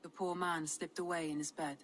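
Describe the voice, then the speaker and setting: A woman's voice: low-pitched, level tone, brisk, noisy background, lightly acted. A woman playing a composed Viking clanswoman bearing news in a video game drama. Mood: grave, gentle.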